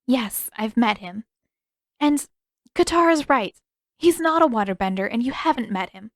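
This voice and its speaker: A woman voicing a gentle, earnest young woman in a story, shy, sincere and careful in how she talks. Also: a little high, animated, normal speed, dry recording, clean studio sound. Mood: cautious. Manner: hesitant, careful.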